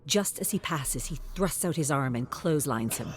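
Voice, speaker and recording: A man reading scripted story narration in a measured, even storytelling delivery. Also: a little high, a crowd in the background.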